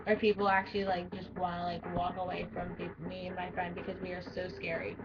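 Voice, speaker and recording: A teenage girl with a deep voice for a girl, talking bluntly in a rambling casual vlog with lots of fillers. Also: completely flat delivery, fast, creaky, recorded from a distance, muffled recording, a crowd in the background. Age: teens. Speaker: vlogger.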